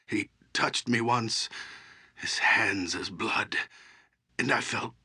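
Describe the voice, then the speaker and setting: An actor playing an aged, solemn druid in a fantasy game, with a husky, breathy voice and a halting delivery. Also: male voice, fairly low pitch, sharp tone, exaggerated voice.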